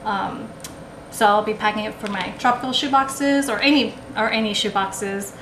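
A woman shows her finds at a table in a relaxed, rambling way, filling pauses with ums and restarting her sentences. The room rings with echo, and a clear hum of noise sits under her voice. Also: medium pitch. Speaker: haul vlogger. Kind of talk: casual show-and-tell haul. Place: indoors at a table, camera over the table. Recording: echoey room with background noise.

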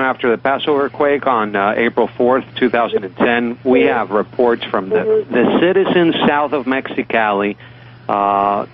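Halting male caller on a thin phone line. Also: mid-range voice. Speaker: panelist reporting news. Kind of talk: informal spoken news report. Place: remote call into radio show.

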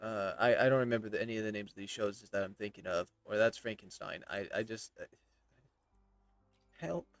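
A man's voice: fairly low pitch, a little fast, no room sound. Rambling man streamer, dry close sound. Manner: rambling, hesitant, self-interrupting. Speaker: game streamer. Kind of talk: casual gameplay commentary, reading dialogue choices aloud.

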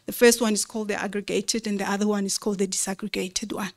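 A woman giving an explanatory slide presentation at a convention, in a measured, didactic way with rhetorical questions. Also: mid-range voice, flat delivery.

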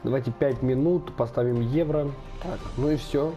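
Young guy talking through a football game, bit under the weather, mellow voice, faint music behind. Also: mid-range voice, normal speed, highs cut off. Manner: chatty, thinking aloud with many fillers. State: slightly unwell, voice affected. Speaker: gaming channel host. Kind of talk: casual let's-play commentary.

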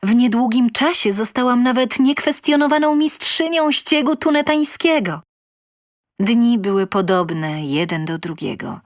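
A woman playing a self-satisfied, sensual lonely inventor, telling her comic tale in a theatrical, expressive manner. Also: on the high side, a little slow, no echo, clean studio sound. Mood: wry, bored. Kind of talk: dramatic comic story narration.

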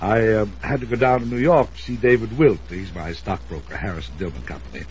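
An actor playing a wealthy older uncle in a 1950s radio drama, emphatic, domineering and anxious. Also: male voice, fairly low pitch, a little fast, darkish tone, lightly acted. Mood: insistent, grave. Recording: dull, noisy old radio recording.

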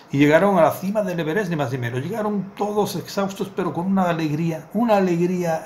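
A man's voice: fairly high pitch, darkish tone, slight background noise. A middle-aged man, a rabbi, giving a Torah lesson in a small study. He tells a parable earnestly and repeats words for emphasis, recorded on a desk webcam. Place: small indoor study with bookshelves.

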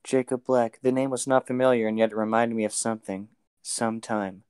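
Clear, smooth-voiced man, casual. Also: mid-range voice, fairly even intonation, fairly quick, darkish tone, no room sound, clean studio sound. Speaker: podcast co-host reading aloud with friends. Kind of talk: casual chat and group read-aloud of a novel. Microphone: close mic on an online voice call.

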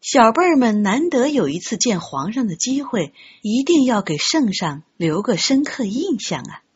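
A woman reading a novel aloud as an audiobook narrator, in an expressive storytelling style. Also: a little high, normal speed, breathy edge. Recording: dull, muffled lo-fi audio.

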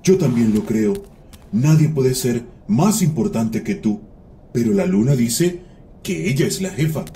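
A man voicing a sly one-eyed giant from the underworld, flattering and goading in a cartoon tale. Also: medium pitch, measured pace, a little hiss or hum. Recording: music behind the voice.

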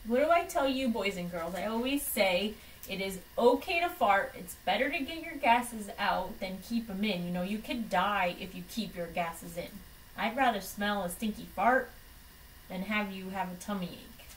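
A young woman reading a storybook aloud to children in an expressive, deliberate way. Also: medium pitch, slight background noise. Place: small room at home, indoors. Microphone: camera microphone from across the room. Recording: distant microphone in a room.

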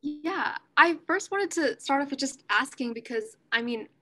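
Bright-voiced young woman asking questions. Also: high voice, expressive, moderate pace, soft background music. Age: young adult. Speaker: student panelist. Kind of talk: casual interview questioning. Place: room at home, on a video call.

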